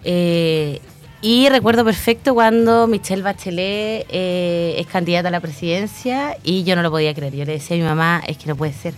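A woman in her thirties with a Chilean accent, a guest talking through her story in a relaxed interview, pausing often with fillers. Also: fairly low pitch. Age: 30s. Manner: reflective, frequent hesitation fillers. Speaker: guest, newly elected council member. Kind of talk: casual interview conversation.

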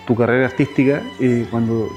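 A man's voice: medium pitch, steady tone, fairly quick, darkish tone, soft background music. Hesitant man asking interview questions. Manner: hesitant, many "eh" fillers and restarts. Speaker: interview host.

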